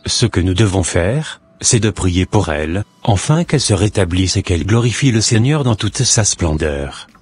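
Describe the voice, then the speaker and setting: A man voicing a calm, reassuring believer. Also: deep voice, normal speed, breathy edge. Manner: reassuring, sermon-like.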